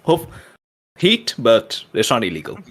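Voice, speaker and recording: A man's voice: medium pitch, huge pitch swings, a little slow, a bit bright. A man chatting casually as a player in a tabletop roleplaying game, thinking aloud about his moves.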